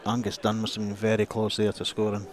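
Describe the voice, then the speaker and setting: A man's voice: on the low side, completely flat delivery, quick pace. A man giving conversational analysis as co-commentator on a live rugby broadcast, outdoors at the ground.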